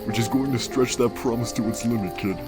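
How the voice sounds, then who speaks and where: A man voicing a weary, wisecracking skeleton big brother in a comic dub, casual and halting, with a tender, secretly anguished streak. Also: medium pitch, natural voice. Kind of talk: comic dub character acting. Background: background music.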